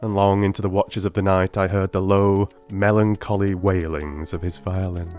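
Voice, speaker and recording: A man speaking as an audiobook narrator and producer, in a measured, courteous storytelling style. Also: deep voice, flat delivery, a little mellow, soft background music. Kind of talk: measured storytelling and listener address.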